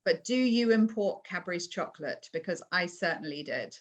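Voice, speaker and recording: A woman's voice: on the low side, lively intonation, moderate pace. A British woman hosting an online event over a video call. She reads audience questions aloud and chats back easily, laughing now and then. Her accent is from England. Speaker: interview host. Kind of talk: hosting a virtual interview, reading audience questions.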